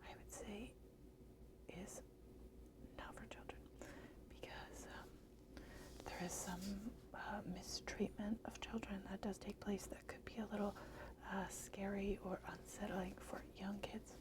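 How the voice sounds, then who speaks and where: Woman, slow unhurried whisper, slightly hoarse, hesitant ASMR book reviewer. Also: mid-range voice, flat delivery, poor audio. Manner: soft hesitant whisper with frequent fillers.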